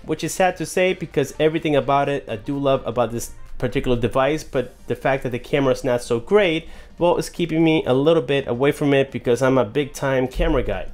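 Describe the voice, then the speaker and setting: A man's voice: medium pitch, quick pace, darkish tone, faint music behind. Chatty man demoing a phone. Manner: chatty, addresses viewers as guys. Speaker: tech reviewer. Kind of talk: casual product demo. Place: indoors at a desk.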